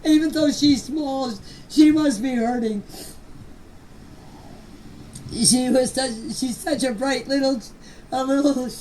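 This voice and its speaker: A woman's voice: sits high, steady tone, slow pace, long pauses, mellow, distant mic, slight background noise, poor audio. An older woman speaking informally in voice messages, plain-spoken, earnest and emphatic. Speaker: grandmother of missing children. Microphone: phone voice message.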